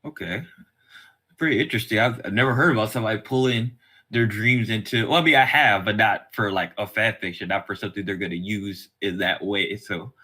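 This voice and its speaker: A man with a fairly low, bright and forward voice hosts a podcast interview. His delivery is casual and rambling, as he works his way around each point. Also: a little fast.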